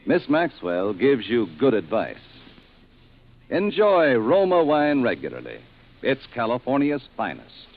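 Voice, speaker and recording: An American man delivers an emphatic 1940s radio ad, swinging widely in pitch and lingering slowly on his slogans. The sound is a tinny old broadcast with faint noise. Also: wildly swooping pitch. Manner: emphatic, spelling out and stressing slogans. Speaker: commercial announcer.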